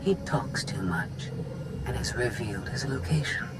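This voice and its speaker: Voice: mid-range voice, lively intonation, moderate pace, lightly acted. A performer playing a cool, calculating droid schemer in a video game, speaking precisely and dryly. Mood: cool, dismissive. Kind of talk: video game cutscene acting. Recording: loud game music over voice.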